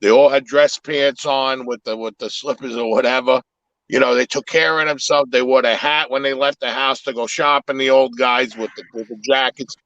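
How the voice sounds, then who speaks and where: A man's voice: medium pitch, fairly even intonation, normal speed, fairly bright tone, dry recording, dull audio. A man whose first language is Italian, talking in a casual, reflective way on a live podcast, with many restarts. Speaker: podcast host.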